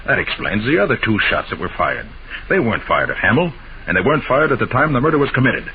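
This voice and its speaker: A man playing a confident, sharp-minded master detective in an old-time radio mystery, explaining and questioning in an assured, methodical way. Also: mid-range voice, sing-song, fast talker. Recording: dull, noisy old radio broadcast recording.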